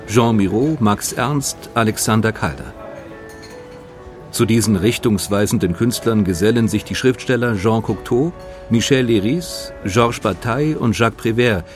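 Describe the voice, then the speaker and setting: A man with a fairly low voice narrates a scripted documentary. His delivery is measured and factual, and soft music runs faintly underneath.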